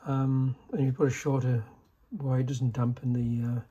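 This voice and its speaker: A man giving a casual, unscripted technical demonstration in a halting, low-key way, like a restorer explaining equipment. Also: level tone, dark tone, breathy edge, muffled recording. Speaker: vintage radio restorer demonstrating a set.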